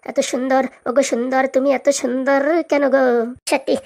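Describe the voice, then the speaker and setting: A woman voicing an excitable, bantering comic village character in a cartoon, with a rural Bengali accent and colloquial, exclamatory talk. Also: sits high, a little fast, creaky. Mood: teasing. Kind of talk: comedy cartoon dialogue.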